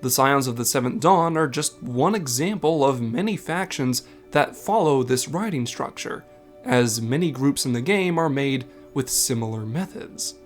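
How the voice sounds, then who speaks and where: A man's voice: mid-range voice, animated, normal speed, soft background music. A man delivering scripted narration as the host of a video essay. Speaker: video essay narrator and game content creator.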